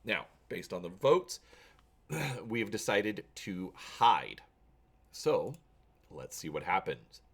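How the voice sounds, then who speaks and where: A man's voice: lowish voice, animated, slow pace, long pauses, husky. A man hosting a channel, talking casually to viewers and reading an adventure story aloud with drama.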